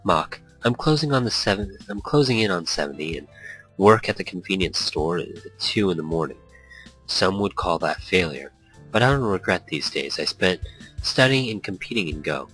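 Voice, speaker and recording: Man voicing a calm, low old shopkeeper. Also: moderate pace, slightly rough, faint music behind, full character voice, rough recording. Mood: wise, reflective. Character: calm, philosophical old male shopkeeper near seventy.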